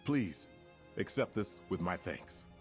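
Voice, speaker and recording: Resolute male alliance commander for fantasy game: low pitch, stately formal delivery, music behind. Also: expressive, takes it slowly. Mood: grave, determined. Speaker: quest-giver in a fantasy video game. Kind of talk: scripted game dialogue.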